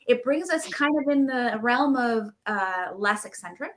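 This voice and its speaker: A woman's voice: fairly high pitch, lively intonation, a little slow. A middle-aged woman, a band musician, chatting casually as an interview guest on a video call. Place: indoor room, video call.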